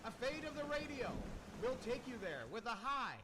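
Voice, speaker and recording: A male radio host presents a live theater broadcast. His voice sits high for a man, and clear background noise runs through the studio recording. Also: sing-song, moderate pace. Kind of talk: live event hosting and rhymed radio play narration. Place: radio studio performance room.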